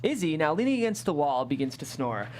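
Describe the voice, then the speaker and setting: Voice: medium pitch, big pitch swings, fairly quick, a little mellow, a little hiss or hum. A narrator reading scripted stage directions aloud at a table read.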